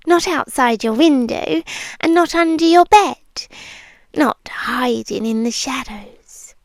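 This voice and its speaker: A British woman voicing a possessive demon girlfriend in an intimate, soft, breathy ASMR roleplay, tender yet menacing. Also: high-pitched, animated, no room sound, exaggerated voice. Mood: hushed, lulling. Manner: slow, breathy, drawn-out, soothing yet possessive. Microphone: close microphone, dry.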